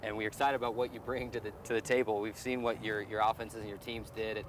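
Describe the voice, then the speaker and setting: American guy hosting a sports show, talks really fast, outside with some faint noise around. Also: fairly low pitch, level tone, low-quality recording. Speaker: sports show host and interviewer. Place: outdoors beside a football field.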